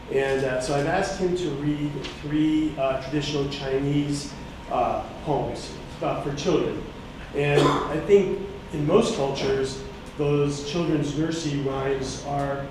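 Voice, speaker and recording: A man hosting a poetry event introduces the next reader in a friendly way, with some fillers. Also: a little high. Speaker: event host. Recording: distant, echoey, noisy room recording.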